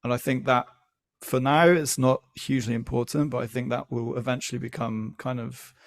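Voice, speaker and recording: A man speaking as a podcast guest, explaining his views in a measured, deliberate, conversational way. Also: medium pitch, steady tone, creaky. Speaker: podcast guest, newsletter founder. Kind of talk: conversational interview.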